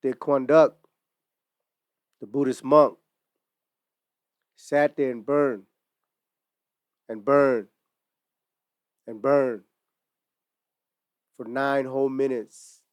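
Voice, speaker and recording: A man's voice: medium pitch, steady tone, very slow, pauses often, clear, resonant tone, no echo. A man speaks earnestly to camera. He tells stories in a reflective way and uses casual street slang. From Utah, United States. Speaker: merch brand founder. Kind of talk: earnest personal monologue to camera. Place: indoors in front of merchandise display.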